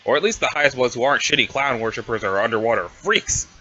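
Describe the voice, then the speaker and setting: A man's voice: medium pitch, fast talker, bright, forceful tone, lo-fi sound. Man putting on a brash, scheming alien girl. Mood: bossy, scheming. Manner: snarky, bossy, casual needling. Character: brash scheming female alien troll, cocky and sarcastic. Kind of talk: amateur comic dramatic reading.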